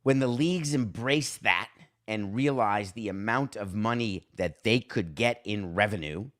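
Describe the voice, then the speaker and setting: A man's voice: on the low side, a little slow, fairly bright tone. A man hosting a solo sports talk show, giving opinionated commentary in an emphatic, sardonic way with rhetorical questions. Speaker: sports talk podcast host.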